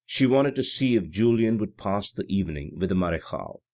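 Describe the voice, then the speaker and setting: A man's voice: lowish voice, lively intonation, brisk, a little mellow. A man reading literary fiction aloud as scripted audiobook narration. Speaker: audiobook reader.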